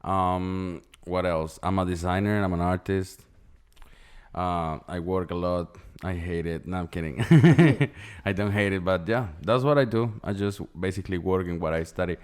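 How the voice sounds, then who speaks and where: A man's voice: low-pitched, normal speed, clear, resonant tone. A young man from Colombia, co-hosting a casual podcast chat in a joking, laid-back way. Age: young adult. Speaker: podcast co-host.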